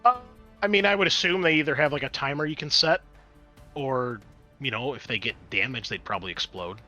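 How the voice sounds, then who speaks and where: Bright-voiced man running a tabletop game. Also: mid-range voice, fairly quick, faint music behind. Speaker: game master. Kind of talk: narrating and refereeing a tabletop roleplaying game.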